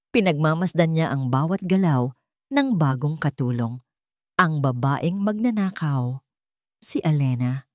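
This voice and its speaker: A woman's voice: low voice, a little mellow, no room sound, studio quality. A woman with a Filipino accent narrating a dramatic romantic story from a script.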